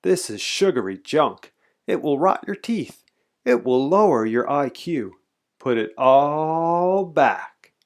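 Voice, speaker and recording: A man playing an exasperated father, loudly animated. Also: sits high, normal speed, few pauses, pitched above the performer's own voice, exaggerated voice. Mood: scolding. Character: exasperated, protective, loud scolding father.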